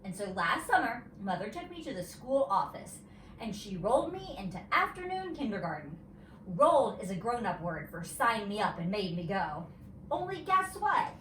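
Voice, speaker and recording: Animated woman reading aloud in a roomy space. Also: medium pitch, normal speed. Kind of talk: animated storybook read-aloud. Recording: roomy, distant mic, faint noise.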